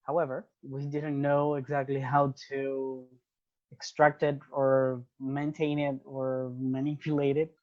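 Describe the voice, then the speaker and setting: A man's voice: medium pitch, completely flat delivery, unhurried, darkish tone. Venezuelan man speaking English, lo-fi sound. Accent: Venezuelan Spanish. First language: Spanish. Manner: thoughtful, restarts phrases while searching for English words. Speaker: guest. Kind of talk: casual interview conversation.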